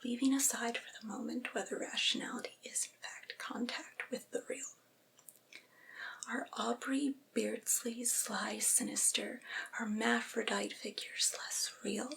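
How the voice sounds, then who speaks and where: Woman whispering softly, breathy ASMR reading. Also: fairly high pitch, fairly even intonation, a little slow, poor audio. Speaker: ASMR creator reading aloud.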